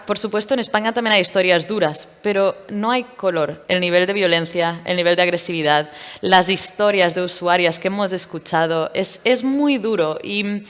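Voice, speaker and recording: A woman from Portugal tells founder stories on stage in a candid, lively voice, with plenty of fillers. Her pitch sits mid-range, and a long hall reverb trails her words. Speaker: startup founder. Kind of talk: stage interview at a tech event. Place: event stage.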